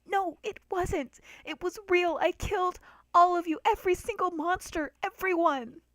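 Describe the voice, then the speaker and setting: A woman voicing a timid, guilt-ridden young child in a dramatic reading, halting and stammering as if close to sobbing. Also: very high-pitched, a bit bright, no room sound, pitched above the performer's own voice. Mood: anguished confession. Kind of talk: dramatic fan-fiction reading.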